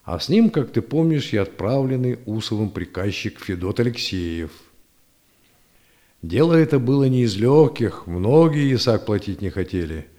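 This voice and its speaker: An actor playing a grandfather who tells history in a folksy, animated, gently teasing way in an audio play. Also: fairly low pitch, moderate pace. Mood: animated storytelling. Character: old grandfather storyteller teaching his grandchild, lively and gently chiding. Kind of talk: audio play storytelling narration.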